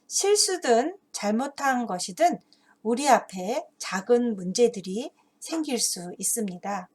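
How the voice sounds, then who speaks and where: A woman with a fairly high voice speaks as a teacher of stories and faith. She sets out the lesson earnestly, phrase by phrase, in a deliberate storytelling way meant for parents. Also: very animated, darkish tone, slightly rough. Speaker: host and teacher of an English Bible story channel for parents. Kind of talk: explanatory storytelling with moral and devotional teaching.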